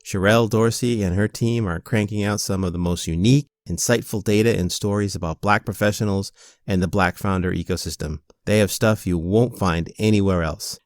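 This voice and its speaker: A man's voice: low voice, dry recording, studio quality. A man hosting a podcast interview, asking questions in an upbeat, enthusiastic way. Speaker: podcast host.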